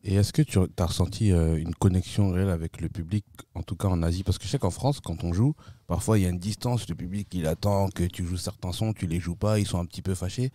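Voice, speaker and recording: A man co-hosting a talk show talks in an easy, chatty manner and builds his questions with care. His voice is very deep and mellow, caught close on a dry mic. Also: steady tone, fast talker, no echo. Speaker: co-host. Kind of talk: casual chat.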